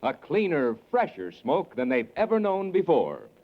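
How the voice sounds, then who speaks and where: Dull vintage broadcast, male announcer, higher-pitched, animated, polished emphatic sales delivery. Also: unhurried. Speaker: radio announcer. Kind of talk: 1940s radio announcing and advertising. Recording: dull 1940s radio recording.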